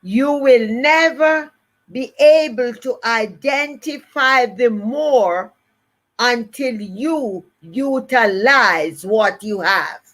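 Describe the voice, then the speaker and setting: Slow, emphatic Jamaican woman speaker. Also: on the high side, fairly bright tone. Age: older. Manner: emphatic, repeats key phrases. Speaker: motivational speaker. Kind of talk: motivational live-stream talk.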